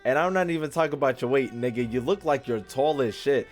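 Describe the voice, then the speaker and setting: A man's voice: medium pitch, fast talker. An American man doing casual live-stream game commentary in a slangy, profane, teasing way. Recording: faint game music behind.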